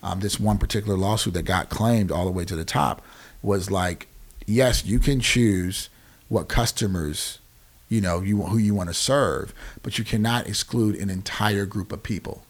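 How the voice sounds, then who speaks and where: American guy in his 40s, lowish voice, explaining stuff emphatically on a podcast, keeps checking you follow. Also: normal speed. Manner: emphatic, often checks in with "right?". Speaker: podcast guest, nonprofit founder. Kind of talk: conversational explaining in an interview.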